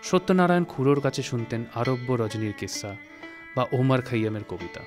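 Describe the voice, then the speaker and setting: A man narrates a literary tale in a studio. His pitch is medium and his phrasing is measured and deliberate. Soft music plays behind him. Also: expressive. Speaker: story narrator. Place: recording studio.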